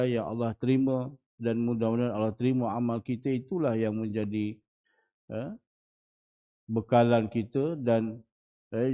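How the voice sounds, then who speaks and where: A man in his forties with a Malaysian Malay accent, a religious teacher giving a dawn lecture in a small prayer hall, often putting rhetorical questions to the audience. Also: medium pitch. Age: 40s. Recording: muffled lo-fi recording.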